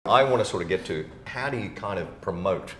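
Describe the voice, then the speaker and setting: Male podcast interviewer, deep voice, casual and blokey, echoey room sound with light background rumble. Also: animated, fairly quick. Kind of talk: casual, matey interview chat. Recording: roomy echo, background rumble noise.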